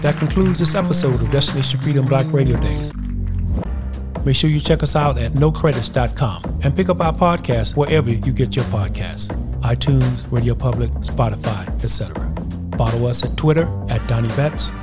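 A man's voice: medium pitch, animated, moderate pace. Man doing a podcast outro over music. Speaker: podcast host. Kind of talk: show outro. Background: loud music.

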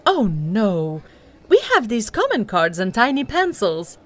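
A woman's voice: mid-range voice, sing-song, unhurried. A woman playing a polite, unhelpfully courteous hotel receptionist in an audio drama, with customer-service manners. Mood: syrupy polite.

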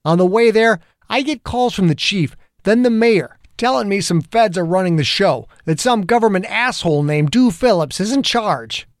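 A blunt, foul-mouthed small-town detective, old and stubborn, spoken by a man in a pitch lifted well above his narrating voice. The delivery is combative, and the sound is dry and close. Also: lively intonation, normal speed, no echo. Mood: angry. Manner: blunt, profane, confrontational. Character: gruff older male small-town police detective, combative.

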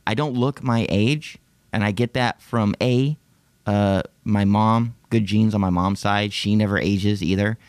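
A man's voice: lowish voice, fairly even intonation, measured pace, darkish tone, no room sound. A man in his late thirties, rambling and profane in casual self-deprecating podcast chat. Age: 30s. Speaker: podcast host.

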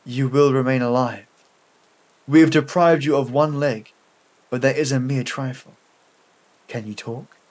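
A celebrated professor of surgery, acted by a male narrator in an audio war story. He speaks calmly in a medium-pitched voice, paternal and matter-of-fact. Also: unhurried, long pauses, close to an everyday voice. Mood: calm, reassuring.

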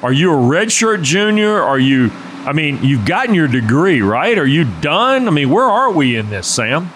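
A man's voice: on the high side, big pitch swings, a bit bright, a little hiss or hum. Chatty sports radio host, man. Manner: joking, conversational, quick asides. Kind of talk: casual sports radio chat.

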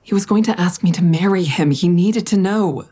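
Audio drama schemer: low-pitched, terse, guarded, holding back a guilty secret. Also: female voice, moderate pace, warm tone, breathy edge. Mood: defensive. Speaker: actor in a fiction podcast. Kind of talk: naturalistic audio drama acting.

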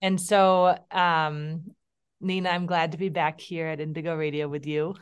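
Smooth-voiced woman radio co-host. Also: deep voice, level tone, a little slow. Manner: chatty, laughs often, thinks aloud with ums. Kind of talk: casual radio chat.

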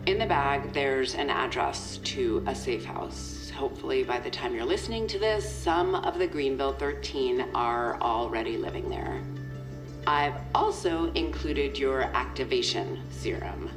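A woman playing a regretful boss in an audio drama, leaving a halting, earnest confidential message. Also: very deep voice, animated, reverberant, over music. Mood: resigned, wry. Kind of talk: audio fiction acting, recorded farewell message.

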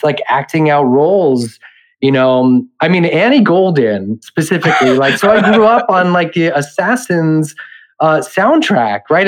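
A man's voice: fairly high pitch, poor audio. Earnest man reflecting in an interview. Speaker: podcast guest, theater and film creative. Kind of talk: casual reflective interview talk.

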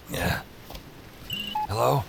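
An actor playing a dazed, weary hero in an audio drama, halting and wry as he narrates his ordeal. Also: medium pitch, gravelly, noisy background, full character voice. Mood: groggy, confused. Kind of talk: noir-style audio drama narration and dialogue.

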